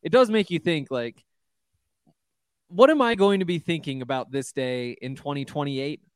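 A man with a fairly high, smooth voice who rambles wryly about his bets and makes fun of himself along the way. He talks over a video call. The sound is dry and close, with no room echo. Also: moderate pace. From Montana. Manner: wry, rambling, self-deprecating. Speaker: podcast co-host. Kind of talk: casual sports betting chat.

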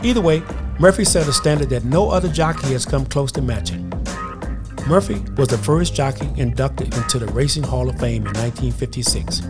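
A man hosting a podcast, giving a measured, informative scripted introduction. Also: mid-range voice, lively intonation. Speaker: podcast host and producer. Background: music.

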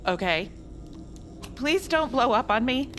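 A woman playing an anxious, hesitant archivist in an audio drama, flustered and halting. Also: fairly high pitch, lively intonation, music in the background. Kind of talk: audio fiction acting.

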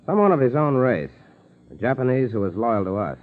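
An actor playing a businesslike, watchful American FBI agent in a 1940s radio drama. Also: male voice, medium pitch, very animated, brisk, natural voice. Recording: thin, phone-like 1940s radio recording.

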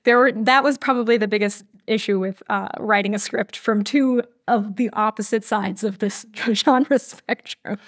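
Woman in relaxed interview chat, dry sound. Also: medium pitch, normal speed, few pauses, dry recording. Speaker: podcast guest, audio drama writer. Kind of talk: casual interview conversation.

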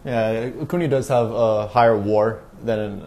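Male podcast interviewer, casual, low pitch, dark mellow tone. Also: steady tone, unhurried. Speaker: sports podcast host, interviewer.

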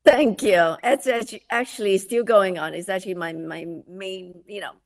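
Middle-aged woman from malaysia, lively chatty guest, bit of creak in the voice, dry close sound. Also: medium pitch, normal speed, dry recording. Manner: animated, with frequent restarts and "you know". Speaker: guest, food subscription founder. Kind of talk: conversational interview.

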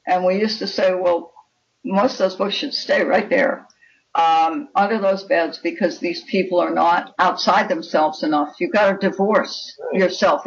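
An older woman speaking as a guest author in a conversational interview, with an opinionated, emphatic and instructive manner. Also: fairly low pitch. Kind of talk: conversational interview talk on writing. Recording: dull, lo-fi connection.

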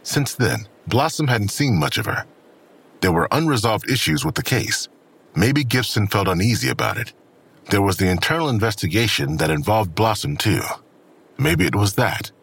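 A man narrating a scripted crime audio drama as a brooding storyteller, with a gravelly, husky voice. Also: fairly low pitch, lively intonation, moderate pace, a bit bright, full character voice.

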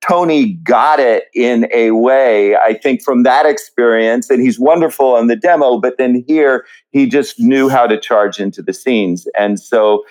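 A man reflecting in an interview as a theatre writer, speaking haltingly and pausing often mid-thought. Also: medium pitch, darkish tone, rough recording. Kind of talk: reflective panel interview talk.

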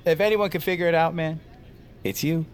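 A man's voice: on the high side, steady tone, fairly quick, slight background noise, natural voice. An actor playing an easygoing male workmate who banters casually and playfully in an audio drama.

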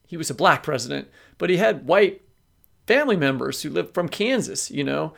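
A man co-hosts a casual podcast discussion. His voice sits a little high for a man, with a strong creaky fry running through it. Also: expressive, normal speed, a bit bright. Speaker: podcast co-host.

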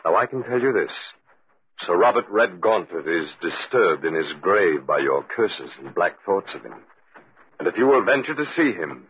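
A man's voice: low voice, sing-song, moderate pace, husky, no echo, full character voice. A man playing a gaunt, mysterious, slyly helpful spirit stranger in 1940s radio acting style. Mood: sly, inviting. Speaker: actor in radio drama. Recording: muffled, noisy old radio recording with clicks.

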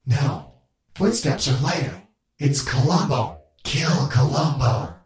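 A man's voice: mid-range voice, slow pace, a crowd in the background. A man voicing an arrogant, bossy swarm of alien parasites with a male voice, heard through an echoey layered filter. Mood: insistent. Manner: arrogant, bossy, hissing urgency.

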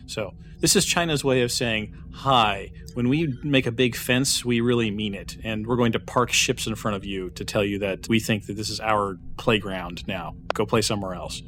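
A man's voice: fairly low pitch, moderate pace, few pauses, noisy background. Chatty joking podcast man. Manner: chatty, joking, trails off with fillers. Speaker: podcast co-host. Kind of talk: casual banter.

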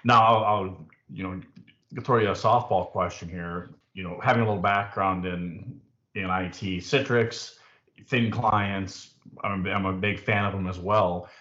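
A man hosting a casual interview, asking relaxed, conversational questions. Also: deep voice, a little slow, echoey room, lo-fi sound. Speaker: podcast host.

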